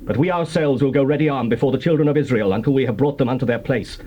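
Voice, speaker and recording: Male actor doing determined bible tribesman, fast formal delivery, bit of old cassette noise. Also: mid-range voice, mellow, lightly acted. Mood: resolute. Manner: formal, declamatory. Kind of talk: dramatized King James Bible reading. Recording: noisy old cassette tape.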